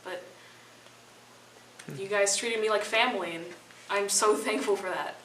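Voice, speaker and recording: Teenage female, bright mid-pitched voice, heartfelt casual talk, echoey distant recording. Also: measured pace, slight background noise. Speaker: high school theater student. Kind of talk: casual heartfelt interview answers. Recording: echoey room, distant mic.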